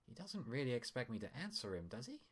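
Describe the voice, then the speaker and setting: A man's voice: medium pitch, big pitch swings, fast talker, breathy edge, pitched above the performer's own voice. Man voicing a sullen, guarded pony mare. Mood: exasperated. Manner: grumbling, guarded, sarcastic. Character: sullen, distrustful female pony patient, sharp-tongued.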